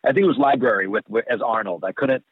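A man with a Boston accent, a voice actor chatting casually in an interview, rambling with quick restarts. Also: on the low side, slightly rough, no room sound. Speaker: voice actor guest. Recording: tinny phone line.

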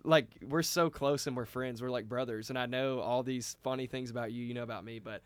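A man's voice: medium pitch, steady tone, fairly quick, smooth, dry recording. A man in a band, telling a long story casually with lots of restarts and "like.". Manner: rambling, with many restarts and "like". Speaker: band member.

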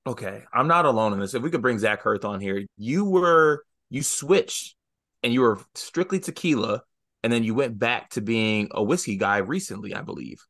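A man from Michigan co-hosting a casual sports podcast, relaxed and easygoing in his banter. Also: mid-range voice, moderate pace. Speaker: podcast co-host.

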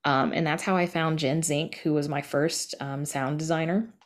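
A woman's voice: low voice, steady tone, normal speed. A middle-aged woman giving candid, chatty answers as a guest in a casual interview. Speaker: interview guest, podcast creator.

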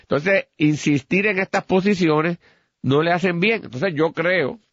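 A Puerto Rican man with a slightly high voice speaks as a political commentator on a radio discussion. He argues deliberately, stacking repeated phrases to build his case. The sound is dry and a little dull, as from a lo-fi studio feed. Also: fairly bright tone, no room sound.

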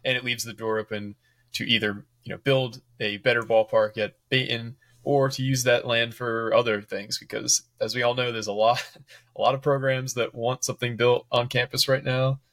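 A man co-hosting a sports podcast, explaining things casually in a measured, agreeable way. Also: medium pitch, little pitch movement, fairly bright tone, no echo.

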